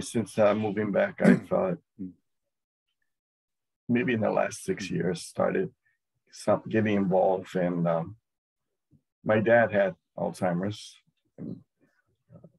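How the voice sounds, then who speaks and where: Man from Hawaii, very low voice, gravelly and husky, halting speech, webinar call. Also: steady tone, darkish tone, poor audio. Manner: halting, searching for words. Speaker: volunteer. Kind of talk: casual webinar introduction.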